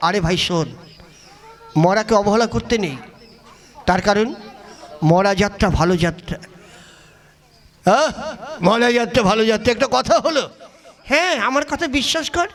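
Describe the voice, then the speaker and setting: A man's voice: very high-pitched, a bit bright, full character voice. A man voicing crude, cocky, superstitious bandits in a comic puppet play. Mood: bossy, superstitious.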